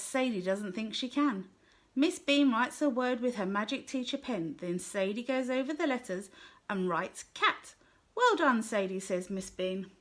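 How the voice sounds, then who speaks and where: A woman with a slightly high voice reads a children's picture book aloud. She tells the story gently and clearly, at an easy pace for young listeners. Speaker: storybook reader.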